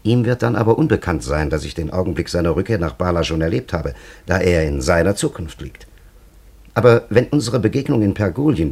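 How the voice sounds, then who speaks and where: Give this radio drama a very low-voiced old man, an ancient time traveller who is grave and cunning. His delivery is solemn and ornate, with wide swings in intonation. He addresses others with patronising authority. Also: creaky, darkish tone, slightly rough, heavily acted. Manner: solemn, elaborate, theatrical storytelling. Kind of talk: radio play acting.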